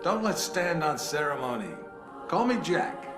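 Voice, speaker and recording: An actor playing a polished, self-assured older retired intelligence director in a video game, speaking in measured, deliberate phrases. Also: male voice, on the high side, big pitch swings. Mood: genial. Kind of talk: dramatic video game cutscene dialogue. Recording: music behind the voice.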